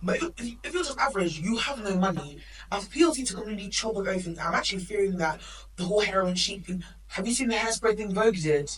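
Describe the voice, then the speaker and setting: A woman's voice: mid-range voice, lively intonation, recorded from a distance, low-quality recording. A woman of Nigerian heritage, co-hosting a podcast in emphatic, opinionated casual chat. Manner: opinionated, emphatic, quick asides. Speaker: podcast co-host.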